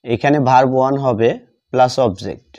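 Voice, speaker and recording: A man, a native Bengali speaker, giving a step-by-step grammar lesson as a teacher, dictating deliberately term by term with repetition. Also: medium pitch, a little mellow, dull audio.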